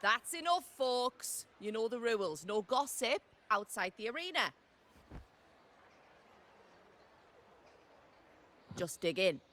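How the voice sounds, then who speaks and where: Blunt, shrewd tavern keeper. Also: female voice, a little high, sing-song, normal speed, a bit bright. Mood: firm, commanding. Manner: blunt, wry, familiar. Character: brisk, shrewd, no-nonsense female tavern keeper. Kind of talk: video game character acting.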